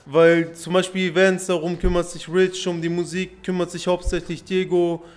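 A man's voice: high voice, level tone, normal speed. A man, a rapper, talking informally about his work in an interview.

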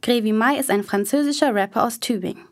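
A woman's voice: on the high side, quick pace. Female reporter narrating a scripted radio feature.

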